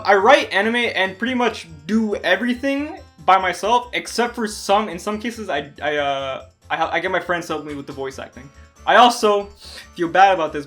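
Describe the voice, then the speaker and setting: A young man from Canada with a bright, high voice, running through viewer questions in a loose, joking way. He talks from his bed into a small recorder, and faint music sits under him. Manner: energetic, jokey, quick asides. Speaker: stop-motion animator and channel host. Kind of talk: casual Q&A vlog. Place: bedroom, on a bed. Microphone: handheld recorder.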